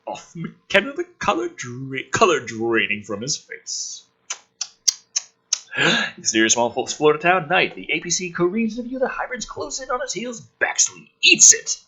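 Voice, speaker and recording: A man reads a script aloud in a bright, forceful voice with a slightly husky grain. His pitch leaps and dives theatrically, and he breaks off for sarcastic, sweary commentary. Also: sing-song, measured pace, rough recording. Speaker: host reading a film script aloud with commentary. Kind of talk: dramatic script reading with sarcastic asides.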